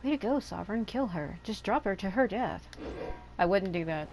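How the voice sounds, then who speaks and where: A woman's voice: medium pitch, lively intonation, moderate pace, a little mellow, faint music behind, rough recording. A woman hosting a gaming channel, talking casually over her gameplay with rambling, joking asides. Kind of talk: casual let's-play commentary. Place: hot room at home.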